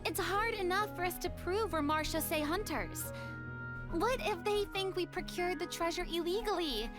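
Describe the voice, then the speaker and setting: A woman's voice: extremely high pitch, moderate pace. A woman voicing a cheerful, caring and playful young noblewoman in an anime game. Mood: thoughtful, earnest. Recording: music clearly behind.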